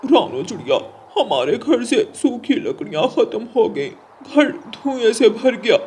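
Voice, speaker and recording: A woman's voice: fairly high pitch, big pitch swings, measured pace, darkish tone, slight background noise. A woman voicing a helpless male crow husband in a children's cartoon, plaintive and begging. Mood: tearful pleading. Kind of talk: Hindi children's cartoon character voice.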